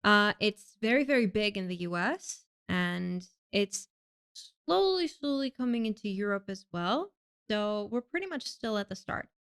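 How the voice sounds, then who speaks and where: Woman guest from Greece, smooth clear voice, relaxed chat with frequent ums. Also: medium pitch, measured pace. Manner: chatty, laughs often, many ums. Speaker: podcast guest. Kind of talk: casual interview chat.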